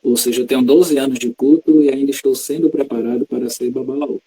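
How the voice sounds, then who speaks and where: A man's voice: medium pitch, steady tone, dark tone, breathy edge, low-quality recording. A man from Salvador, a religious practitioner explaining his tradition patiently in an interview. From Salvador, Bahia, Brazil. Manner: measured, teacherly, explains terms patiently.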